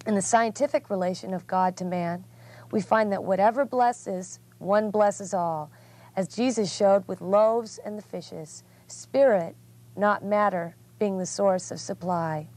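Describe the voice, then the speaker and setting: A woman reading religious text aloud as a guest reader, in a measured, earnest way. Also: mid-range voice, darkish tone.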